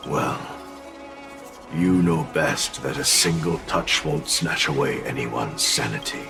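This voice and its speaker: Very deep, gravelly weary sword spirit. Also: male voice, music in the background, exaggerated voice. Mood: weary, resigned. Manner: slow, grave, resigned. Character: weary, solemn old spirit of a cursed sword. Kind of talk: video game voice acting.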